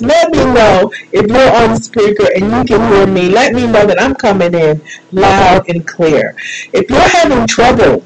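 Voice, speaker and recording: A woman's voice: medium pitch, poor audio. A woman hosting an informal live show, chatting in a playful, rambling, self-deprecating way. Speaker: radio show host.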